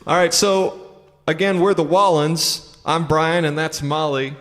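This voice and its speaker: A man's voice: on the high side, fairly even intonation, measured pace, long reverb tail. Midwestern guy, small town musician, easygoing folksy chat introducing a song in a church. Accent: Midwestern American. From small-town Indiana, United States. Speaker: musician and singer. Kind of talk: casual introduction between songs. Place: church, filmed performance.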